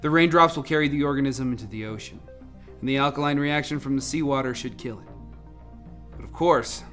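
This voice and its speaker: Man playing bright-voiced, composed scientist. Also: mid-range voice, fairly quick, faint music behind, natural voice. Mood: reassuring, explanatory. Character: composed, earnest male scientist on a crisis team. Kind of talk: audio drama acting, measured explanatory delivery.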